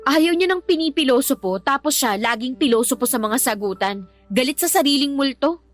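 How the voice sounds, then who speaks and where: An actress playing a frank, resentful daughter in a Filipino radio drama, exasperated and speaking with a Filipino accent. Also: female voice, high voice, expressive, a little fast, soft background music. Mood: curious, concerned. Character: resentful young daughter, outspoken but respectful to mother.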